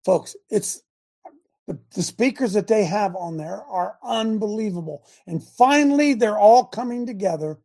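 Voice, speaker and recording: A male talk-show host with a high, gravelly voice that turns husky at the edges. He talks emphatically, exclaiming to his viewers and calling out instructions to his producer. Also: animated, measured pace, darkish tone. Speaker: host of a live talk stream. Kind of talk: casual live-stream talk show.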